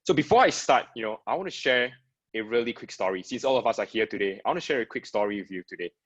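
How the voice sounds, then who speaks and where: A man's voice: fairly low pitch, animated, fast talker. A man from Singapore, a product designer, giving a casual webinar presentation with frequent fillers and repeated words. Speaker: guest speaker, product designer. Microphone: video call. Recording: dull lo-fi video call audio.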